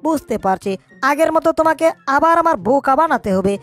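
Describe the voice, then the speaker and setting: A woman's voice: sits high, animated, fast, soft background music. A woman voicing a small, crafty, bossy cartoon mouse, scolding and narrating its own schemes. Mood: scolding, scheming. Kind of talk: cartoon dub in Bangla.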